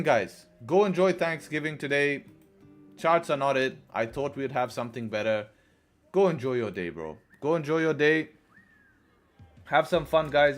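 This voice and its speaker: A young man talks loosely into a headset, easygoing and repetitive, dropping bro and man as he chats to viewers. His voice sits at an ordinary middle pitch, with soft music faintly underneath. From Dubai. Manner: relaxed, repeats phrases, says bro and man. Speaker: forex trading streamer. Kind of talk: casual live-stream commentary. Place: small room indoors.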